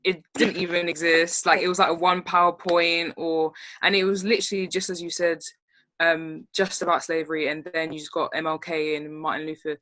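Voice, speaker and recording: Young female, London accent, bright and close-sounding, colloquial and emphatic in casual group discussion. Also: fairly low pitch, level tone, a little fast, no echo. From born in Spain, raised in London. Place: video call.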